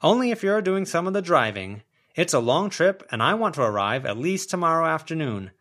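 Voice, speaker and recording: Guy doing a bossy tactless lady, voice pitched way up, blunt and pushy, audiobook character. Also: very animated, a little fast, a bit bright. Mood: brisk, demanding. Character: brash, nosy, tactless short blonde woman.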